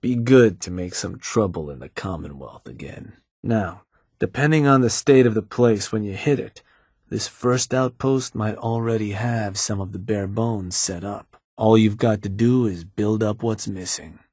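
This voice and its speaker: A man's voice: lowish voice, a little slow, darkish tone, breathy edge, dry recording, studio quality. An actor playing a laid-back, businesslike raider fixer who arranges jobs for his boss, in a game. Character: gruff middle-aged male raider fixer, calm schemer. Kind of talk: game voice lines.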